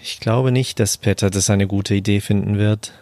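A man's voice: lowish voice, fairly even intonation, normal speed, darkish tone, no echo, clean studio sound, natural voice. A man acting as a stressed restaurant cook near breaking point, subdued and earnest, in a German audio drama. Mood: restrained.

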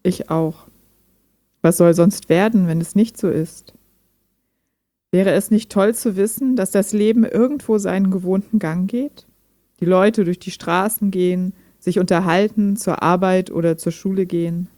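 Low, close female chatbot, acted by a woman. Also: fairly even intonation, a little slow, dark tone, no echo, lightly acted. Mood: gentle, hopeful. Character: gentle, guarded female chatbot talking like a human. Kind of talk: intimate German radio play dialogue.

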